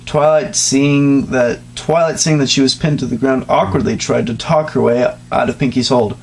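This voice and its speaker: A man's voice: medium pitch, fairly even intonation, moderate pace, vocal fry, slightly rough. A man reading a story aloud to a friend in a mock-serious tone, stopping often for teasing jokes. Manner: mock-serious narration broken by teasing asides. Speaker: reader of a fan story. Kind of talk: comic dramatic reading among friends.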